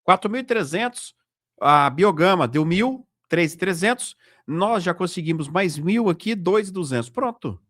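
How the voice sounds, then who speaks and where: A Brazilian man speaks in a fairly high voice, in a dry, close recording. He hosts informally, talking straight to his viewers and often checking in with short tag questions. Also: expressive, normal speed, fairly bright tone, dry recording. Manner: conversational, repetitive, direct address with frequent tag questions. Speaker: host of a political video channel. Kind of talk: informal commentary and donation appeal to viewers.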